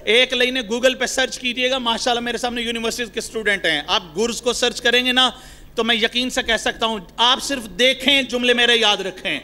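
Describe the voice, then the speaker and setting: A man's voice: extremely high pitch, fairly even intonation, pushed and bright. A man giving an impassioned mourning sermon, often turning to his listeners with rhetorical questions. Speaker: religious mourning orator.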